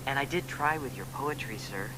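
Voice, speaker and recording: A man's voice: mid-range voice, lively intonation, moderate pace, low-quality recording. An actor playing an earnest, plain-spoken young American man in a radio drama, with an American accent. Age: young adult. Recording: loud hiss on radio-play recording.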